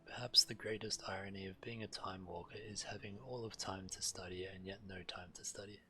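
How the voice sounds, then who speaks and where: A man's voice: deep voice, fairly even intonation, a little fast, pushed and bright. A man streaming a video game, reading quest text aloud as narration. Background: game music.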